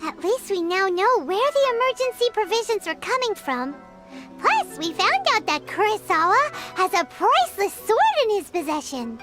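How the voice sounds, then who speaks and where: A squeaky fairy companion. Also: female voice, very animated, moderate pace, music in the background. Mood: chatty. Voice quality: very high, squeaky. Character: tiny floating fairy companion, chirpy and blunt. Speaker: companion character. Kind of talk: video game voice acting. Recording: game score behind.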